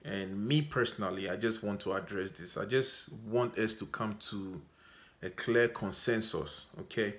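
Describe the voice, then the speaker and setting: A Ghanaian man talking to his viewers in a measured way, often stopping to ask "okay?". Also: lowish voice, a bit bright. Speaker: vlogger. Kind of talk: unscripted talk to camera.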